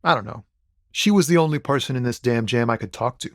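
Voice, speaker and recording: A man's voice: medium pitch, quick pace, a bit bright, no room sound, clean studio sound, lightly acted. A man voicing a laid-back, soulful lorry driver in a video game, loyal and reluctant, talking in a casual, hesitant, earnest way with streetwise slang. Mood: guarded, regretful. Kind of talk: conversational video game character dialogue.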